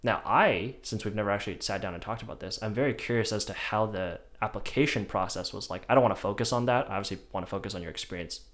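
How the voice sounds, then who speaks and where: A young man, host of a podcast, talking casually and relaxed, often tagging on "right?". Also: fairly low pitch, fast, hardly any pauses. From China. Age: young adult. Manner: relaxed, conversational, rhetorical "right?".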